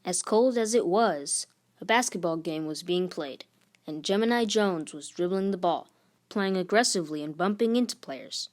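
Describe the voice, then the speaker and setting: A woman's voice: fairly low pitch, lively intonation, moderate pace, a little mellow. A woman hosting a read-aloud, narrating a storybook expressively.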